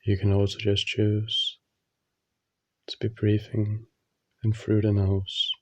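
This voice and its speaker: A man leading a guided meditation, speaking calmly and soothingly with long pauses. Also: on the low side, no echo. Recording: dull, muffled lo-fi audio.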